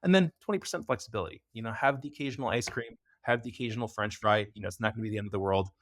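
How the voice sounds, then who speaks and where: A man's voice: on the low side, fairly bright tone, dry recording, clean studio sound. A young American man chatting as a podcast guest, talking loosely with lots of fillers. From United States. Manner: fast, loose talk with many fillers. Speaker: podcast guest, preventative health expert. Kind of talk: casual interview chat.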